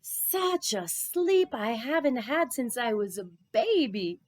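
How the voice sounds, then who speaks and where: A woman voicing a weary, bookish male rabbi in a children's storybook, with drawn-out, emphatic phrasing. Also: fairly high pitch, very animated, voice pushed up, full character voice. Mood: contented, relieved. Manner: groaning, drawn-out, emphatic complaining. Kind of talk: storybook character voice.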